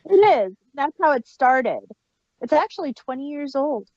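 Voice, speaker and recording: A woman's voice: high-pitched, very animated, normal speed, a little mellow, dry recording, highs cut off. A woman hosting a casual audio drama review, explaining things in detail like an enthusiastic fan. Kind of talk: casual group discussion.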